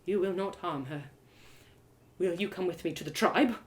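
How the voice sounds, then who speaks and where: A woman's voice: lowish voice, normal speed, natural voice. A woman voicing a hostile male archer in a storybook, with a taunting, menacing and dramatic manner. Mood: cold threat.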